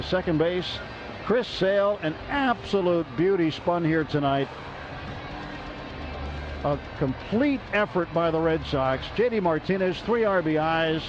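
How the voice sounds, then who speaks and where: A man calling live baseball play-by-play on radio, brisk and excited as the plays unfold. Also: sits high. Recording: lo-fi radio broadcast with crowd noise.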